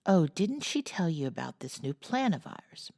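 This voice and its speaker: Bold outspoken teen girl, blunt and brisk, low lively voice, read by a woman. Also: creaky, no echo, clean studio sound, exaggerated voice. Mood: explaining. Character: bold outspoken teenage girl, frank and hearty.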